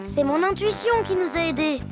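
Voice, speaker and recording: A woman voicing a friendly, earnest little boy in a French children's cartoon, with expressive delivery. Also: very high-pitched, a little fast. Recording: music behind the voice.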